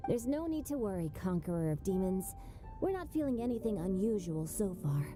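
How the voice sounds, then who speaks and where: A woman voicing a polite, mischievous teenage boy in a video game, smooth-talking and playfully innocent, over music. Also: medium pitch, very animated, brisk, close to an everyday voice. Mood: reassuring, teasing. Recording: music behind the voice.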